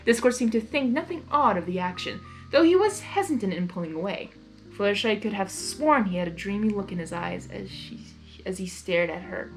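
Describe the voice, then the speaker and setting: A woman reading fan fiction aloud as narrator, expressive and excitable, with casual reactions. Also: mid-range voice, fairly quick, faint music behind, rough recording.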